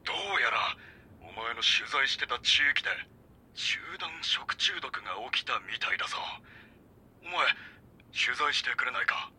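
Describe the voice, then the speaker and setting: A man's voice: mid-range voice, very animated, moderate pace, pushed and bright, a little hiss or hum. A man playing a brusque, commanding middle-aged magazine editor-in-chief in an audio drama. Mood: urgent. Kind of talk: Japanese audio drama acting.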